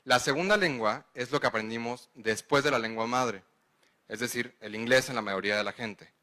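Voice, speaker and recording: A man's voice: medium pitch, moderate pace, bright, forceful tone. A man with a Mexican accent giving a conference lecture. He explains step by step and checks in with the audience. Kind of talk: conference lecture answering questions.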